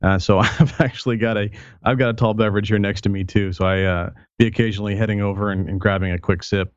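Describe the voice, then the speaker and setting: A man talking as a guest in a casual chat, relaxed and easygoing, his voice still recovering from a sore throat. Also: fairly low pitch, fast talker, clear, resonant tone, dry recording, lo-fi sound. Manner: relaxed, easygoing, filler words. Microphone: internet call.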